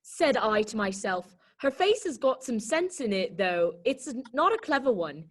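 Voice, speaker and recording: A woman's voice: fairly high pitch, big pitch swings, normal speed. A woman voicing a snooty, blunt talking garden flower in a children's read-aloud play, condescending and snippy in manner. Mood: prim, condescending.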